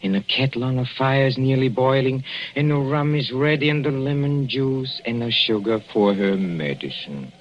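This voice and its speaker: An actor playing a sly older husband who coos softly and chuckles while poisoning his wife, in 1940s radio drama acting on a dull old broadcast recording. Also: mid-range voice, expressive, moderate pace, slight background noise, lightly acted. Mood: cooing. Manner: soft and wheedling, chuckling, quietly menacing.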